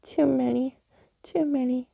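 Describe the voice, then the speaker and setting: A woman's voice: sits high, fairly even intonation, unhurried, creaky, mellow, slightly rough, no room sound, lo-fi sound, voice pushed up, exaggerated voice. A woman voicing a whiny, desperate ghost who pleads and demands in childish broken phrases for a storybook. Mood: whining, pleading.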